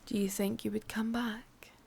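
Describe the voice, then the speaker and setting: A woman playing a sadistic, possessive witch with an English accent in a whispered ASMR roleplay, breathy, teasing and menacingly sweet. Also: medium pitch, little pitch movement, normal speed, darkish tone. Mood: musing, menacing.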